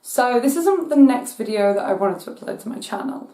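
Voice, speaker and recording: A woman hosting her own channel, hoarse from losing her voice, ranting in exasperation as her voice cracks high now and then. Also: lively intonation, fairly quick, mellow, echoey room. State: hoarse from voice loss. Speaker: channel host. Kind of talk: casual rant vlog.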